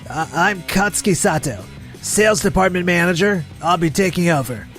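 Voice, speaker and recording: An arrogant, easily rattled young manager from a manga romcom dub, acted by a man. His voice sits slightly high for a male and turns indignant and defensive. Soft music plays underneath. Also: animated, normal speed. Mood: formal, evasive. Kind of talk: dramatized manga story dub.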